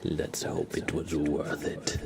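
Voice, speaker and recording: An ominous dark lord played by a man whispers in a low, gravelly voice, drawing out each phrase with solemn menace. It is an ASMR roleplay, with clear noise behind it. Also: level tone, exaggerated voice. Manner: slow, solemn, drawn-out whispering. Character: ominous, menacing dark-side sorcerer sealing a pact.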